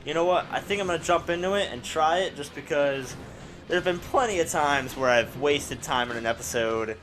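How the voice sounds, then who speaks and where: A man who is sick with a raspy voice, playing a car combat game and rambling through casual commentary with lots of fillers. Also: a little high, fairly quick, slight background noise. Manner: rambling, excitable, many fillers. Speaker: let's play gamer. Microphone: desk microphone at home.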